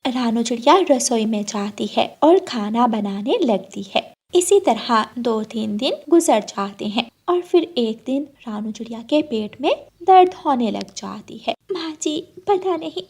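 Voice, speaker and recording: A woman's voice: on the high side, lively intonation, a little slow, few pauses, darkish tone, husky. A woman narrator reading a scripted cartoon story.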